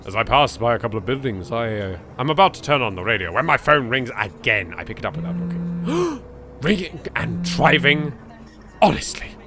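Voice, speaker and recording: A man with an English accent hosting a let's play, reading narration aloud and breaking in with animated, jokey asides. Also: mid-range voice, normal speed. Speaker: gaming video host.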